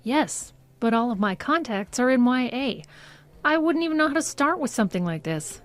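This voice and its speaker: A woman acting in an audio drama as a skeptical, blunt but soft-hearted agent with a matter-of-fact manner. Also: high voice, lively intonation, moderate pace. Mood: firm, reassuring. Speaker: actor.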